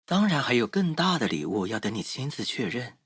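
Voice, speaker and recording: The boyfriend in a mobile romance game, whispering-close and dry, husky, playful and tender with his sweetheart. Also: medium pitch, big pitch swings, fairly quick, a bit bright, dry recording. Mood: teasing, tender. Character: doting, teasing young man romancing his sweetheart. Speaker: game voice actor.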